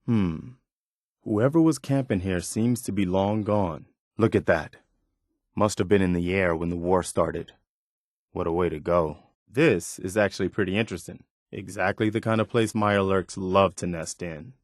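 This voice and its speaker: Casting: male actor as steadfast American wasteland militia officer for a game companion role, lowish voice, plainspoken, very dry close sound. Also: normal speed, no echo, close to an everyday voice. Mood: curious, wary. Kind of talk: video game companion voice lines.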